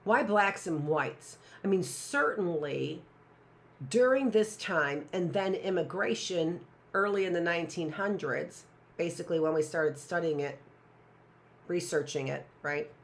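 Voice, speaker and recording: A woman's voice: on the low side, a little slow. A middle-aged woman teaching a live online class, rambling with sarcastic asides and talking to herself.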